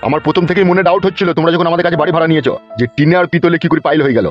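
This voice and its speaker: A man's voice: fairly high pitch, rapid-fire, natural voice. A man playing a pushy, lecherous landlord in a comic cartoon, taunting and crude as he fires off questions. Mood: mocking. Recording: dull lo-fi track with music.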